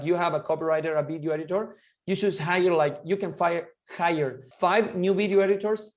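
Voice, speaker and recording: A man, talking eagerly and quickly as an interview guest, often restarting his sentences. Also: a little high. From Argentina. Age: 20s. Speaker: guest entrepreneur. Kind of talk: casual interview chat.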